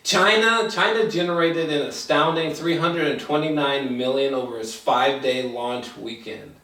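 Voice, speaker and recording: A man chatting casually and without a script as a movie reviewer, rambling, excitable and swearing freely. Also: a little high, normal speed. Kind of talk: casual unscripted chat review. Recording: roomy echo, distant mic.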